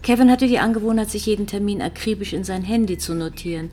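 An actress playing a composed, probing police detective in a TV crime drama, with measured, insistent questions. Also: female voice, medium pitch, dark tone, a little hiss or hum, natural voice. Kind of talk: naturalistic German TV drama dialogue.

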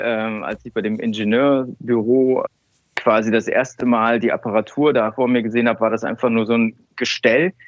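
A man's voice: medium pitch, dry recording, dull audio. A man from Cologne, a scientist, telling stories in an interview with many fillers and restarts. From Cologne, Germany. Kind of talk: relaxed conversational interview.

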